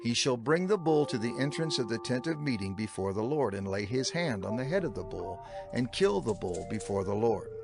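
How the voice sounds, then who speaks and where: Expressive male scripture narrator over music. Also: mid-range voice, a little fast, vocal fry.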